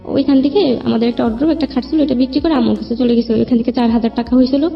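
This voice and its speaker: A young woman with a Bangladeshi accent and a fairly high voice recounts her own life in loose, everyday speech. She rambles as an interview guest. The sound is somewhat dull, with music underneath. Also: steady tone, racing, gravelly. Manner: colloquial, rambling narrative. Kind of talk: personal storytelling in an interview. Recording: muffled lo-fi audio, music behind. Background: background music.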